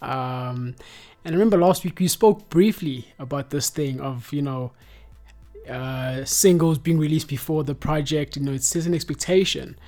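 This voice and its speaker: Young south african guy, podcast host, relaxed and rambling, music underneath. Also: on the high side, moderate pace. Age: 20s. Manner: relaxed, rambling with many fillers. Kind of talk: casual chat.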